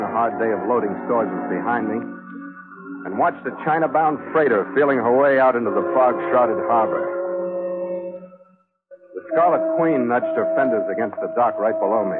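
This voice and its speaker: A man's voice: mid-range voice, natural voice. Man playing a tough sea captain, tinny old radio. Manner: clipped, hardboiled, lyrical narration. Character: tough, wry sea captain narrating his logbook. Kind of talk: 1940s radio drama acting. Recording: tinny 1940s radio recording with music.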